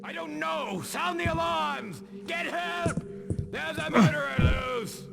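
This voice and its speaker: A very high-pitched, rough and crackling male guard in a video game. He barks in urgent panic, with loud music behind him. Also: takes it slowly, exaggerated voice. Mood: panicked, shouting. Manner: urgent, barked exclamations.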